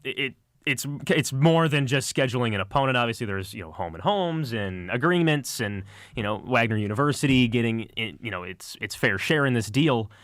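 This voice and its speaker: A man's voice: medium pitch, expressive, fairly quick, fairly bright tone, no echo. A man co-hosting sports talk radio, explaining his analysis earnestly and conversationally, now and then stumbling over words, on a studio mic. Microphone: close studio microphone.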